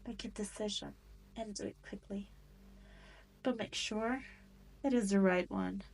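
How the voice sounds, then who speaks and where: Demon queen for roleplay audio, played by a woman: cruel and seductive, mid-range voice, very deliberate pacing, haughty taunts. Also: medium pitch, darkish tone, breathy edge. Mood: menacing, commanding. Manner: taunting, haughty, condescending, teasing. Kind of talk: scripted roleplay audio drama monologue.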